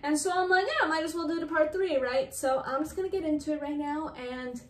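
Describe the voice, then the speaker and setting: A woman in her twenties giving casual, excitable reaction commentary, repeating phrases for emphasis. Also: high-pitched, brisk. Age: 20s. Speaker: reaction video host. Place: small bedroom indoors. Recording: roomy sound with music behind.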